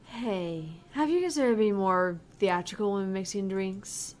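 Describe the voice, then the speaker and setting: Woman voicing an unhurried, cheerful young pop idol. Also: mid-range voice, darkish tone, close to an everyday voice. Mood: breezy, earnest. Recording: clear background noise.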